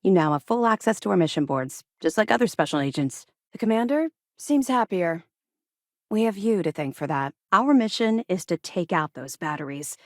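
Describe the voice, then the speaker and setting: Tough space navy officer lady, lowish voice, firm and clipped, very dry close recording, video game dialogue. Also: expressive, normal speed, no echo. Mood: earnest, encouraging. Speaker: first mate and mission handler.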